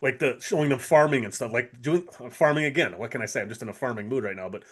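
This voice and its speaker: A man with a medium-pitched voice chats as a podcast co-host. He is eager and informal, rambling along and often restarting his thoughts. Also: fast, husky. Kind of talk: casual fan chat about TV.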